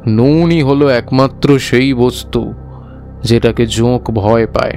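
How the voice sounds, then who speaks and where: Casting: man as earnest cartoon village schoolmaster, slow emphatic explainer, mid-pitched, faint music underneath. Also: steady tone, darkish tone. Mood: firm, instructive. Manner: emphatic, explanatory, repeating himself. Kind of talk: dramatized animated horror story.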